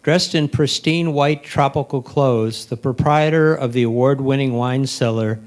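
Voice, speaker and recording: A man of average pitch reads descriptive captions from a script. He goes deliberately, phrase by phrase, as a presenter of photographs would.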